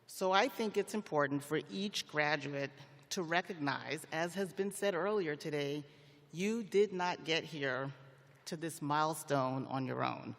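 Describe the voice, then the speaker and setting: A middle-aged man from Liberia delivering a prepared commencement speech in a measured, formal, deliberate way. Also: on the high side, a bit bright. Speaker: commencement speaker, federal magistrate judge. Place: large indoor arena, on stage at a ceremony. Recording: long reverb of a large arena.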